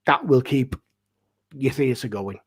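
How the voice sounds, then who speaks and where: A man's voice: medium pitch, slow pace, breathy edge. Earnest middle-aged man in casual discussion. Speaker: pantomime dame and amateur theatre director.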